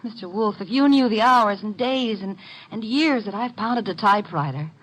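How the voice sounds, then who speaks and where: A woman's voice: medium pitch, natural voice. An actress playing a coy, gushing young woman writer in 1950s radio drama style. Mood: eager. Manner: coquettish, gushing, hesitant pauses. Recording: dull vintage radio recording.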